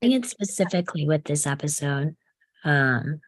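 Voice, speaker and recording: Woman, very deep pitch, thoughtful, explanatory, casual discussion, dry sound. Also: lively intonation, moderate pace, no echo.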